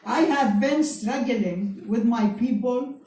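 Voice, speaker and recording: A Palestinian woman in her seventies whose first language is Arabic, speaking careful, halting English as a guest speaker to an audience. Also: on the high side, dark tone. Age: 70s. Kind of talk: public talk to an audience. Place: event space before an audience. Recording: roomy hall, speaker far from mic.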